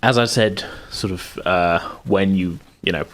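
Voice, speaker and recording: A man's voice: low-pitched, unhurried. A man working as game master, narrating a tabletop game in a conversational, meandering, slightly hesitant way.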